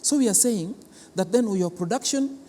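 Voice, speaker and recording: A Kenyan man with a slightly high-pitched voice answers interview questions in an explanatory way. He is hesitant, often repeating words before moving on. The sound carries the reverb of a hall. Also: lively intonation, moderate pace, warm tone, slight background noise. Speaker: cultural centre official, interview guest.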